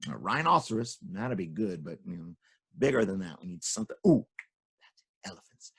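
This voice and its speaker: A man voicing a calm royal advisor in a children's story, reassuring and thinking aloud in a measured way. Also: mid-range voice, vocal fry, breathy edge, dry recording. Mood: musing. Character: scheming, soothing male royal advisor with simple solutions.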